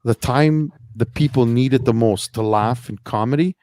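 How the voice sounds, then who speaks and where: A man's voice: medium pitch, normal speed, darkish tone, a little hiss or hum. A man in a casual podcast chat, hesitant with many fillers, turning indignant. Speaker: podcast guest.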